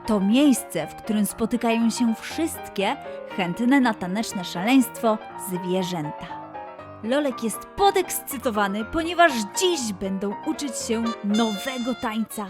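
A woman's voice: a little high, expressive, slow pace, music in the background. A woman narrating a scripted story for children as its storyteller.

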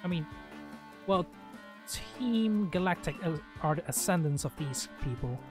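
Higher-pitched man doing casual game commentary. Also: lively intonation, measured pace, music in the background. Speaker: gaming video host playing and commenting.

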